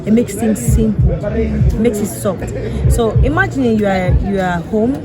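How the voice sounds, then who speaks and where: Young woman from Sierra Leone, emphatic guest, over loud music. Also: on the high side, unhurried. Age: young adult. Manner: emphatic, repeats herself. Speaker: guest, artist. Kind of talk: casual panel talk. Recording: loud music and crowd behind.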